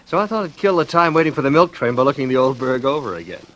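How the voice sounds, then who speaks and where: An actor playing a suave, sardonic escaped madman, affable on the surface and quietly menacing, in 1940s radio suspense. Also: mid-range voice, lively intonation, fast talker, close to an everyday voice. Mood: affable, teasing. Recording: noisy vintage radio recording.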